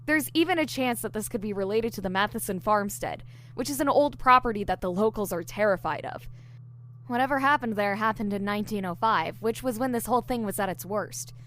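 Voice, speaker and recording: A woman's voice: mid-range voice, brisk, a bit bright, close to an everyday voice. A woman playing an eager, diligent newly hired investigator in an audio drama, explaining things earnestly. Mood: earnest, speculative. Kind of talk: audio drama dialogue, explaining case theories.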